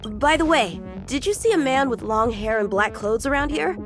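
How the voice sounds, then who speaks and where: An eager young swordsman for a video game dub. The boyish voice sits fairly high and swings with lively inflection. He is earnest, polite and sure of himself, with music playing behind him. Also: moderate pace. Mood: earnest, courteous. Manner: earnest, animated. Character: eager, confident teenage boy swordsman, polite and talkative.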